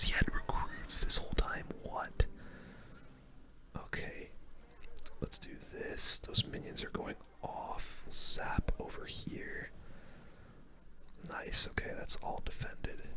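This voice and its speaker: A young man whispering casual, slangy ASMR commentary as he plays a video game, close to the mic. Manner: slangy, casual, reacting to play. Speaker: gaming video host.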